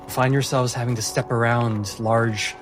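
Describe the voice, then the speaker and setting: A man narrating a tabletop fantasy game as game master, in deliberate, atmospheric phrases broken by pauses. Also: mid-range voice, fairly even intonation. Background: music.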